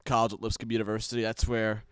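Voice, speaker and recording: Clear-voiced young man, dry sound. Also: fairly low pitch, completely flat delivery, fast talker, no room sound. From Nashville, Tennessee. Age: 20s. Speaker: guest introducing himself. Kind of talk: casual self-introduction.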